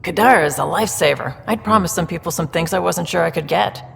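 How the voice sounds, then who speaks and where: Heavily creaky, pragmatic official. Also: female voice, fairly low pitch, fast, a bit bright. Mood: resigned, wry. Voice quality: very creaky, gravelly. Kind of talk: video game character acting. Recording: reverberant room, faint ambient noise.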